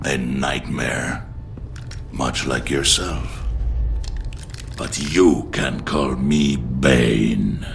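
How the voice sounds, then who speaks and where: An actor playing a hulking mercenary villain in a video game, boastful and theatrical, with a gravelly growl and taunting delivery. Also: male voice, a bass voice, drawn-out words, long pauses, background noise, heavily acted. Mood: menacing taunting. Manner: taunting, grandiose. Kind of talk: dramatic game voice acting.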